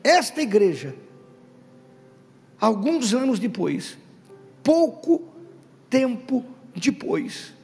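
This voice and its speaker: A man preaching a Pentecostal sermon to his congregation. He talks emphatically, calls out questions to the room and builds to loud stressed bursts. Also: very high-pitched, sing-song, very slow, creaky, pauses often. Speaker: pastor. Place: church hall. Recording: reverberant hall with faint background music.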